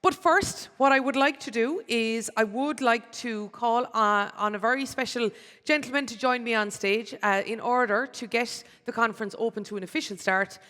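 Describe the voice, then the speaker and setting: A woman with a slightly high voice, hosting a conference in a chatty, friendly way. She speaks into a stage microphone, and the sound rings with the long reverb of a large hall. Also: moderate pace, a bit bright. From Cork, Ireland. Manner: chatty, friendly, with personal asides. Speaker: conference MC and radio presenter. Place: large city hall with an audience.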